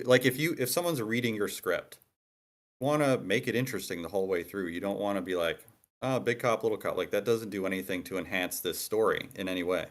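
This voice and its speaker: A man in a casual workshop discussion, explaining his view by example and rephrasing as he goes. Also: fairly low pitch. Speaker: participant in a screenwriting critique.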